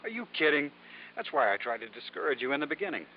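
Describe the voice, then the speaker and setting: An old radio play, dull and slightly noisy, with a mild, genteel nephew. His voice is animated and courteous, with a sly, amused edge to it. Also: a little high, fast talker. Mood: amused, conspiratorial. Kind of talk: 1950s radio drama acting. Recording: muffled, noisy vintage radio recording.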